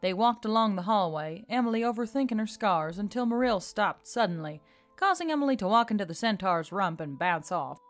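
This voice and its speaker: A woman narrates a story in a scripted audiobook style, with a mid-pitched voice. Faint music plays behind her reading. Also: animated, brisk.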